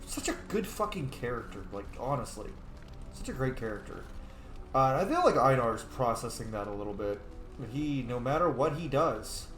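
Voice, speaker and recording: A man's voice: mid-range voice, a bit bright. A man hosting a video game playthrough, talking casually and with enthusiasm, swearing freely as he reacts. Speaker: game player and host. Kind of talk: gameplay commentary. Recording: roomy echo.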